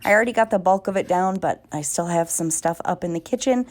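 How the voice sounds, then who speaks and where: A woman's voice: on the low side, quick pace. A woman, chatting casually in a home vlog, a little nasal and congested from a cold, bubbly and talkative. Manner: bubbly and talkative, many fillers. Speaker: vlogger. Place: home kitchen.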